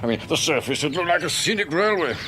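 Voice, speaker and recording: Radio drama casting: male actor for fussy retired Englishman, quick to bluster, very lively intonation, noisy ambient recording. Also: a little high, natural voice. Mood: proud, fussy. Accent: British English. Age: older. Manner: blustering, exclamatory, grumbling. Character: fussy, irascible retired husband obsessed with his lawn.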